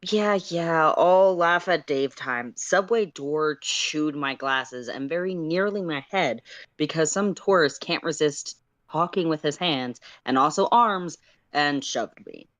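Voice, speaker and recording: Woman playing a low-voiced, dry, put-upon young guy. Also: slow, a bit bright. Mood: weary, exasperated. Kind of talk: character reading on a livestream.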